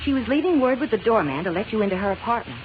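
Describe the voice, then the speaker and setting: A muffled old radio recording of an office secretary. She chatters quickly in a fairly high voice, earnest and deferential toward her boss. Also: big pitch swings, noisy background. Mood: anxious, earnest. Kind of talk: 1940s radio acting.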